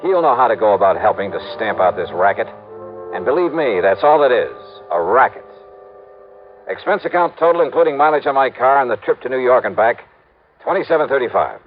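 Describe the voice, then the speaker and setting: A man's voice: mid-range voice, animated, a little fast, natural voice. A man playing a tough, wry insurance investigator in 1950s radio detective style, clipped and hard-boiled. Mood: earnest warning. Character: tough, wry male insurance investigator narrating his case. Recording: tinny old radio broadcast with music.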